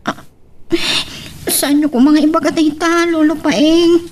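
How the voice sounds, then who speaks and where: A woman playing a tearful, remorseful young grandchild with slow, emotional delivery in an Ilocano radio drama. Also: high-pitched, steady tone, darkish tone, far from the mic. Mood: distressed.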